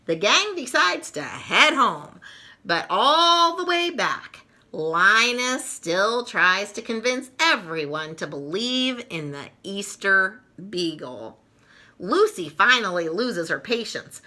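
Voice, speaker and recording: A woman reads a children's storybook aloud. Her voice is very bright and animated, and she takes her time with an expressive, playful delivery. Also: medium pitch, slow pace. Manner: expressive, playful, chuckling asides.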